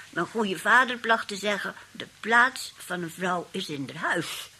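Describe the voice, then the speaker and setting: Sly old aunt, lively low voice. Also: female voice, a little hiss or hum. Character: sly, genteel old aunt, feigning helplessness. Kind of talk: radio play acting.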